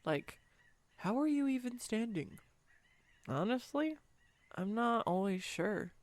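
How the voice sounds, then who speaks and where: A woman's voice: fairly high pitch, lively intonation, slow pace, a little mellow, dry recording. A woman playing a clumsy, giggly, self-deprecating girlfriend on a date, in an intimate one-sided roleplay audio drama. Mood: shy, confiding. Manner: flustered, self-deprecating, chatty with bursts of laughter.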